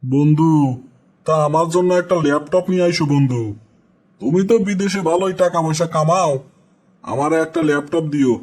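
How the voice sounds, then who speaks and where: A man's voice: on the high side, brisk, long pauses, darkish tone, lightly acted. A man voicing a friendly, wheedling young village friend in a cartoon, with a Bangladeshi accent. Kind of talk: cartoon dialogue.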